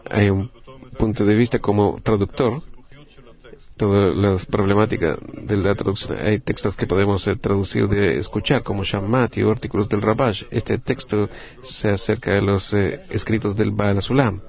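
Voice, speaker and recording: A man with a Latin American Spanish accent interpreting a group discussion live, in halting, phrase-by-phrase delivery. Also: on the low side, fairly even intonation, gravelly, muffled recording.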